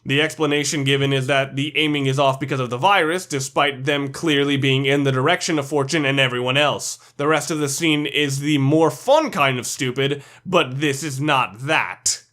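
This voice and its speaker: A man's voice: mid-range voice, normal speed, few pauses, a bit bright. A man reading scripted commentary for a video game ranking list, blunt and opinionated.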